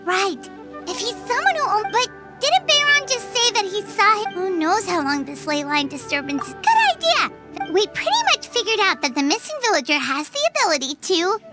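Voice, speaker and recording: Squeaky little chatty fairy girl. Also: moderate pace, loud music behind the voice. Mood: musing. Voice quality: squeaky, childlike. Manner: chirpy, expressive, thinking aloud. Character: small chatty excitable fairy girl companion. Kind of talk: video game voice acting.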